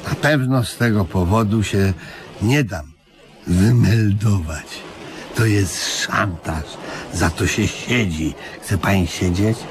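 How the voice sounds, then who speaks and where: An actor playing a dim, stubborn, literal-minded man in a comedic radio play, halting and deadpan. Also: fairly low pitch. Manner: halting, deliberate, obtuse deadpan. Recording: noisy old radio play recording.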